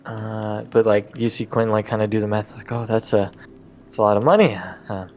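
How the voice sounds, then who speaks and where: A man speaking haltingly and casually as a player in an improvised tabletop roleplay. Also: lowish voice, a little mellow, a little hiss or hum. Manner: hesitant, halting.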